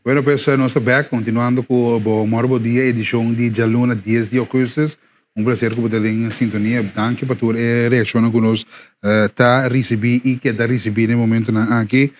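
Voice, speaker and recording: A man's voice: medium pitch, faint music behind. A man hosting a live morning radio show, chatting casually with many fillers and repeats. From Curaçao. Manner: lively, repetitive, halting with fillers.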